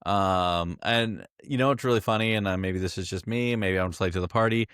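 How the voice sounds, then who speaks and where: Male podcast co-host, low-pitched, enthusiastic, dry close mic. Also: a little fast, a bit bright, clear, resonant tone, no room sound. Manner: enthusiastic, thinks aloud with many restarts. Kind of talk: casual chat.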